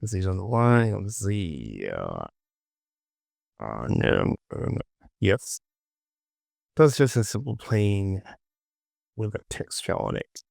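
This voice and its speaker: A man with a fairly low, creaky voice walks through a software tutorial at a slow pace. He is informal and repeats words as he talks to himself. The recording is close and dry. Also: wildly swooping pitch, no room sound. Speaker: 3D modeling tutorial presenter.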